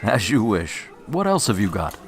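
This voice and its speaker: A haughty, low-voiced wine critic. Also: male voice, very animated, faint music behind. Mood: savouring, smug. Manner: refined, haughty, measured. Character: fastidious, self-satisfied male wine critic. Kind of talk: radio drama acting.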